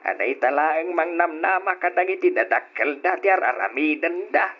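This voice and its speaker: A man's voice: a little high, expressive, moderate pace. An actor playing a middle-aged father chatting casually with his wife in a radio drama, on a lo-fi old recording. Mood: chatty.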